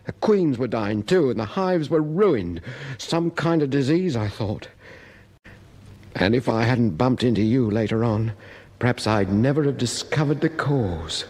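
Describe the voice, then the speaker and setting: Animated, put-upon British husband. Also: male voice, medium pitch, a little slow. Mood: recounting. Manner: exasperated, earnest. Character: put-upon beekeeping husband, recounting events with grievance. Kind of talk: radio drama acting and first-person narration.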